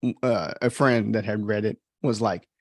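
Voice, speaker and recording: A man who is an author and host, giving informal, halting commentary on his own book as he thinks aloud. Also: lowish voice, fairly even intonation, a little mellow, dry recording, studio quality.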